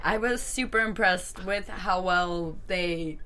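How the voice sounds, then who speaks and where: Bright, giggly woman in casual chat. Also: on the low side, slow, slight background noise. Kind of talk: casual chat recapping a TV episode.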